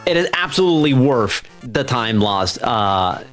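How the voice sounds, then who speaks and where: A man's voice: mid-range voice, big pitch swings, a little slow, fairly bright tone, soft background music. A man chatting casually as he plays in a speedrun race, with dramatic, exasperated exclamations. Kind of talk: casual live gameplay chat.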